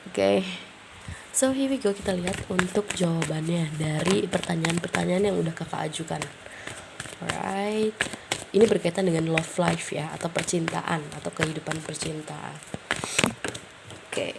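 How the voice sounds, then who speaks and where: A woman's voice: on the low side, moderate pace, vocal fry, long pauses, noisy background. A woman with a stuffy, nasal voice from a cold, doing a casual tarot reading, thinking aloud and tossing in English words. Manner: musing, thinking aloud with frequent fillers. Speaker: tarot reader.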